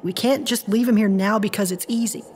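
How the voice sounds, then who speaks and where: A woman's voice: medium pitch, fairly even intonation, fairly quick, darkish tone, close to an everyday voice. A woman playing a wry, loyal, principled woman in an audio drama, with a matter-of-fact manner. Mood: reluctant, firm.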